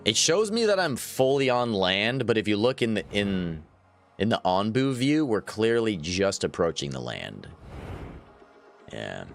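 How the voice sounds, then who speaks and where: A man in his thirties talks casually over a game, pitching low but swinging his voice up and down with plenty of life. He laughs easily and chats straight back to his viewers, while faint music plays underneath. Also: sing-song, a little slow. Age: 30s. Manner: chatty, laughs often, answers chat. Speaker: gaming livestreamer. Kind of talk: casual live gameplay chat.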